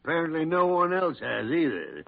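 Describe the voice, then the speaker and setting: A muffled vintage radio recording of a crusty older doctor. His voice sits on the high side for a man. He grumbles and blusters in the theatrical style of 1940s radio, stammering when a word escapes him. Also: big pitch swings, moderate pace. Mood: grumbling, emphatic. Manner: gruff, grumbling, stammering when searching for words. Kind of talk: 1940s radio drama acting.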